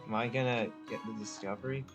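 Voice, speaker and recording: A man's voice: mid-range voice, steady tone, fast talker. A man giving casual playthrough commentary on a game, laid-back and thinking aloud. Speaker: gamer, video host. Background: game music.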